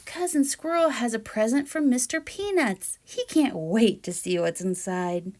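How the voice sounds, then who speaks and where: A woman reading a children's picture book aloud in a deliberate, expressive storytelling manner. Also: fairly high pitch. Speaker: read-aloud storyteller, teacher-like presenter.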